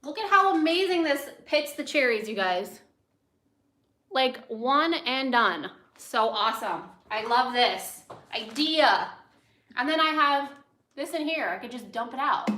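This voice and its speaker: American lady cooking on a live stream, chatty n rambly, really bright voice, echoey room. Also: high-pitched. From United States, grew up in Los Angeles. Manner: chatty, rambling, often drifting into asides. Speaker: host of a cooking livestream, chef. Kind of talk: casual livestream chat while cooking. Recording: roomy, echoey indoor sound.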